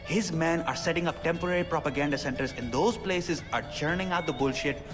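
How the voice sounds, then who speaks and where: An actor playing an excitable young pirate-radio DJ in a video game, chattering fast with slang. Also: male voice, fairly high pitch, lightly acted. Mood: excited. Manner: fast, animated, self-promoting chatter with slang. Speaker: radio host character. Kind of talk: video game cutscene acting. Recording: game audio with music and hall reverb.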